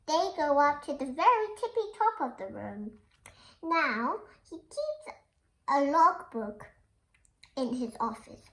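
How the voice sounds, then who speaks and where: A woman's voice: sits high, pushed and bright, poor audio. A young girl reads a children's picture book aloud, explaining it and asking questions like a little teacher. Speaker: young storybook reader.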